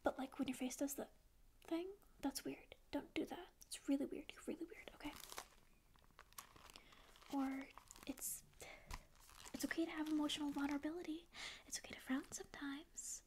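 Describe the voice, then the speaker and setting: Whispered ASMR demon, centuries old, acts angsty but cares, voiced by a woman; high, hushed, breathy close-mic delivery. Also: fairly even intonation, moderate pace, lightly acted. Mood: mock angsty. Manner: whispered, sarcastic teen-like angst, then soft reassurance. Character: centuries-old sleep paralysis demon, snarky yet caring. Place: dim indoor room with string lights. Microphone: close studio mic on camera.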